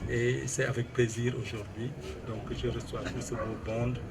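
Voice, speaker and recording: A Senegalese man in his sixties giving a formal press statement, measured and hesitant with many fillers. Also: mid-range voice, level tone, very noisy. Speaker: retired civil administrator and local politician. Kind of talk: press statement answering an interviewer.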